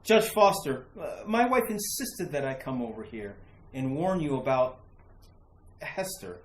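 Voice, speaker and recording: A man's voice: medium pitch, very animated, unhurried, background noise. An earnest, worried husband played by a man protests in the manner of an old horror radio play. His hesitant, reasonable speech swings widely up and down. The sound is roomy and echoing. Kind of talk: retro radio horror acting.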